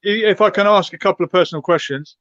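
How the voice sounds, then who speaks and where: A man's voice: sits high, fast, fairly bright tone, dry recording. A man hosting a live discussion, asking questions in a conversational interviewing style through a dull, muffled microphone. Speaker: host of a live online discussion.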